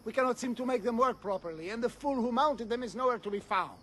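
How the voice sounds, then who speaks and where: A man's voice: extremely high pitch, quick pace, a bit bright, slight background noise. A man playing an eager, apologetic soldier in a video game. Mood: sheepish. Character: apologetic, eager Italian soldier manning cannons. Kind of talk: video game cutscene acting.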